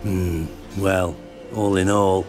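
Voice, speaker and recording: An actor playing a menacing rustic gang leader in a video game, with rough, ungrammatical country talk. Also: male voice, sits low, little pitch movement, slow, over music. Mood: grudging. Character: menacing, rustic village thug leading a small gang. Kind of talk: video game cutscene acting.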